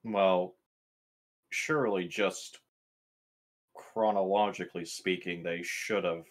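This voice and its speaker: A man with a low voice plays a tabletop roleplaying game. He speaks casually and methodically, hesitating as he works through his questions. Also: a bit bright, husky.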